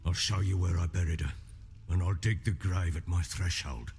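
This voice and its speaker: An actor playing an older, burly drunken baron in a video game, anguished and halting, with coarse archaic speech, racked by guilt. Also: male voice, low voice, darkish tone, faint music behind. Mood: resolved. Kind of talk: dramatic video game acting.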